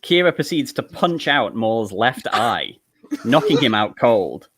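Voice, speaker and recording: A man's voice: medium pitch, very animated, unhurried, fairly bright tone, husky. A man reading stage directions as narrator in a live comedic table read, with an amused manner.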